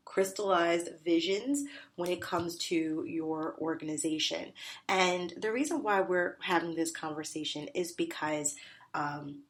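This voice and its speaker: Woman, nasal congested voice, business coach, emphatic and repetitive, lowish pitch. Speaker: podcast host and business coach. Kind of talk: solo podcast teaching.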